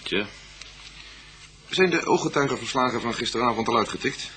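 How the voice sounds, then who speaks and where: An actor playing a businesslike, probing chief inspector in a Dutch radio police drama, questioning and giving orders. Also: male voice, medium pitch, animated, normal speed, noisy background, lightly acted.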